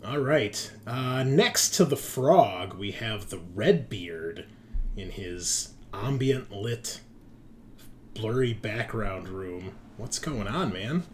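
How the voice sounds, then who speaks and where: A man's voice: lowish voice, lively intonation, takes it slowly, a little mellow, a little hiss or hum. A man hosting a tabletop roleplaying show, chatting casually with slangy, informal enthusiasm. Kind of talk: casual chat, introducing players.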